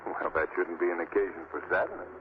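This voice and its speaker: An actor playing an assured, reassuring gentleman traveler in an old radio drama. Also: sits low, lively intonation, a little fast. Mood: calm. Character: confident young gentleman traveler. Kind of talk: 1930s radio acting. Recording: tinny, hissy old radio broadcast.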